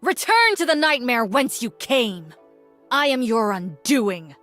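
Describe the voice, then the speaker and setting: A stern and regal ancient warrior woman for a video game. She speaks slowly and formally, with haughty, wrathful weight, and music runs faintly behind her. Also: a little high, very animated, a bit bright, heavily acted. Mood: cold contempt.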